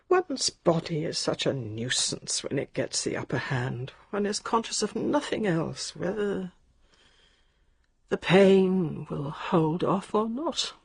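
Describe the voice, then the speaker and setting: A sick older English lady speaks from her bed in a radio drama. Her voice is frail, very husky and gravelly, slow and halting, and it swings between querulous command and wandering confusion. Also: on the low side, heavily acted. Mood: weary, confused. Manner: halting, querulous, imperious upper-class speech. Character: ailing bedridden older lady, sharp-tongued and wandering.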